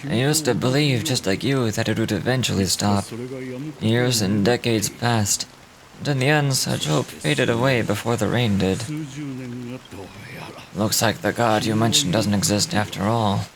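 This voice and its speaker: A man acts out a solemn old guide of lost souls from a fantasy game. The voice sits low and speaks with grave, reflective weariness. A clear crowd-like murmur runs behind it. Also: unhurried, poor audio. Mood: resigned. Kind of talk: dramatic read-aloud of game dialogue.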